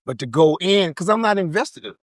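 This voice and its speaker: A man's voice: high voice, animated, quick pace, no room sound, clean studio sound. A man in his forties, a comedian guest, talking bluntly and comically with plenty of slang. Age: 40s. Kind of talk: casual chat.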